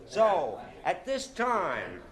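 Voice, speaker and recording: A man's voice: sits high, huge pitch swings, very slow, exaggerated voice. An actor playing a formal, authoritative committee chairman with an oratorical manner in a radio drama. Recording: crowd murmur in a chamber.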